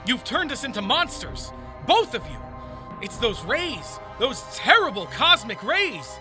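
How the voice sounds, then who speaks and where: A man playing a hot-headed, excitable young superhero who exclaims his lines in a comic book audio drama. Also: very high-pitched, unhurried, full character voice. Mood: panicked, thrilled. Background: music.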